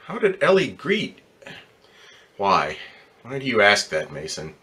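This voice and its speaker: A man acts out a weary, heartbroken ambulance driver in a radio play. His voice sits on the low side with a tense, bright edge. He speaks plainly and haltingly, stumbling over and repeating his words. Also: huge pitch swings, heavily acted. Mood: wistful, bitter. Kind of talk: radio drama acting.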